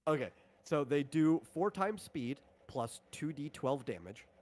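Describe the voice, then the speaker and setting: A man's voice: fairly high pitch, animated, unhurried, a little mellow. A man acting as game master, talking through the rules and equipment of a tabletop game in an unscripted way.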